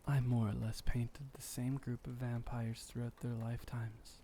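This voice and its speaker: Man acting out an ancient vampire boyfriend, mellow, dark tone, fond and refined, unhurried, soothing ASMR roleplay, faint background noise. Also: medium pitch, little pitch movement, close to an everyday voice. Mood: calm, reminiscing. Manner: soft-spoken, unhurried, formal wording, soothing. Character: gentle, centuries-old male vampire boyfriend, fond and refined.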